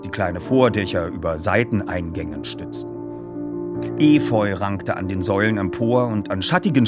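A man hosting a feature and reading a story aloud as an expressive narrator. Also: fairly low pitch. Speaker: host and narrator. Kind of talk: podcast introduction and audiobook reading.

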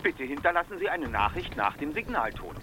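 An actor playing a young detective's formal voicemail greeting, heard through a phone mailbox effect. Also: male voice, fairly high pitch, sing-song, a little fast.

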